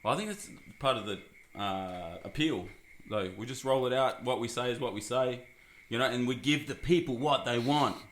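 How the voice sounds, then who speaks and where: A man's voice: mid-range voice, moderate pace, a bit bright, a little hiss or hum. A man hosts a casual podcast, joking and rambling with lots of fillers. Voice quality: husky. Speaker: podcast host. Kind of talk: casual chat.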